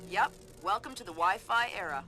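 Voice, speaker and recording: Woman playing a young tech analyst, loud music. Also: mid-range voice, lively intonation, fairly quick, close to an everyday voice, low-quality recording. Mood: playful, pleased. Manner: playful, informal. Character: young, perky female tech analyst guiding a spy. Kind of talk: video game voice acting.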